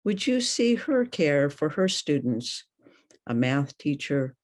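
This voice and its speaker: An older woman reading poetry aloud in a deliberate, questioning manner. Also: sits low, sing-song, a little mellow. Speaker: poet.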